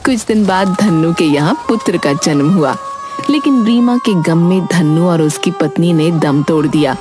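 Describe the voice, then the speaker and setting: A woman's voice: on the low side, normal speed, music in the background. A woman delivering scripted storytelling narration for a fairy tale.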